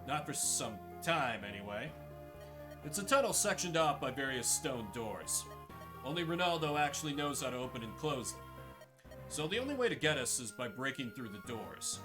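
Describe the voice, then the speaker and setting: A man's voice: mid-range voice, sing-song, normal speed, natural voice. Man playing earnest, troubled fantasy duke. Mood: resolute. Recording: game music behind the voice.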